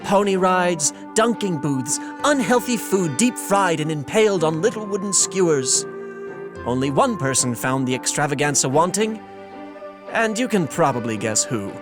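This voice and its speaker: An actor playing a wry storyteller, reading scripted narration for a fantasy audio drama. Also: male voice, fairly high pitch, lively intonation, moderate pace, over music, close to an everyday voice.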